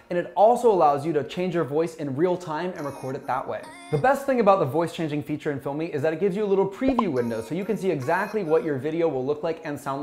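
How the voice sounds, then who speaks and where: A man in his thirties giving a scripted software tutorial in an upbeat presenter's manner, speaking into a lapel mic in a small office. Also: fairly high pitch. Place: small bright office, indoors. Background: background music.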